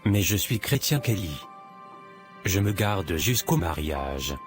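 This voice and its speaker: Low-voiced earnest young boyfriend played by a man. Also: very animated, slow pace, music in the background, exaggerated voice. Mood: serious. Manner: hesitant, serious.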